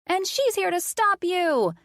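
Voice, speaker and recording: A woman voicing an excited little boy who shrieks his lines. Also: normal speed, no echo, clean studio sound. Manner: shrill, shrieking.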